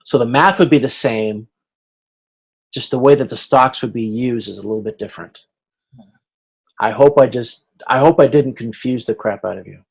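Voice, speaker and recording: A man with a mid-pitched voice leads an informal online class. He explains through examples in a halting, think-aloud way, often correcting himself and checking that listeners follow. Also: vocal fry, breathy edge. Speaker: instructor. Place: online video conference.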